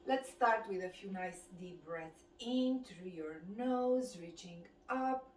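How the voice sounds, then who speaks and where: Slow-paced Romanian-accented woman, distant and echoey. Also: medium pitch, lively intonation. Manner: measured, instructive, with slow breathing cues. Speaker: fitness and qigong instructor. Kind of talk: guided exercise instruction. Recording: distant mic, echoey room, background noise.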